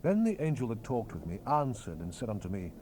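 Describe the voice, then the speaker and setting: A man narrates dramatized scripture with solemn, theatrical gravity. His voice is mellow and medium in pitch, with wide expressive movement, on an old cassette with light hiss. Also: fairly quick. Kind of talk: dramatized King James Bible narration. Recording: hissy 1970s cassette rip.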